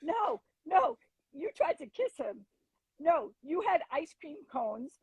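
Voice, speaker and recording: A middle-aged woman, a former schoolteacher, gushing excitedly as she tells stories in a casual livestream chat. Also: sits high, takes it slowly, dry recording, low-quality recording.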